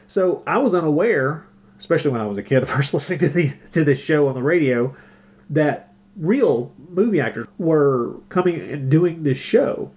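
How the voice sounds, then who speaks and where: A man's voice: fairly high pitch, animated, moderate pace, darkish tone. A middle-aged man giving casual, enthusiastic, conversational podcast commentary. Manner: enthusiastic, chatty.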